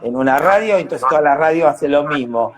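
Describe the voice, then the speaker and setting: A man's voice: a little high, lively intonation, brisk. An Argentine man giving a casual interview on a live video call, earnest, repeating words as he builds his points. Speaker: radio drama actor, interview guest. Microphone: live social media video call.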